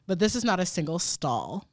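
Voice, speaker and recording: A man's voice: high-pitched, no echo, clean studio sound. A man co-hosting a podcast, chatting casually and rambling through stories with frequent laughs. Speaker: podcast co-host. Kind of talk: casual comedic chat.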